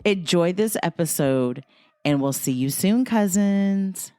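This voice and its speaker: A woman hosting a podcast in a playful, joking, animated manner, introducing and chatting with her guest. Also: fairly low pitch, takes it slowly. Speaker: podcast host. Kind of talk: casual podcast chat. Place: podcast studio, seated beside the guest.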